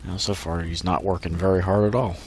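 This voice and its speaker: Stuffy, deep-voiced man narrating gameplay. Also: flat delivery, a little fast, a little hiss or hum. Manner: laid-back, joking. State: stuffy from allergies. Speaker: gameplay commentator. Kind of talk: let's play commentary.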